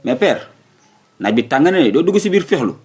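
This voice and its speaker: A man's voice: on the high side, big pitch swings, over music. A man voicing a determined, evasive young son in a comic cartoon, with a Senegalese accent and an argumentative way of talking. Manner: argumentative, quick excuses.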